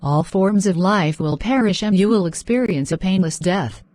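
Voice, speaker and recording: A woman's voice: medium pitch, normal speed, dark tone, dry recording. A woman reads a scripted emergency alert as an announcer, formal and matter-of-fact.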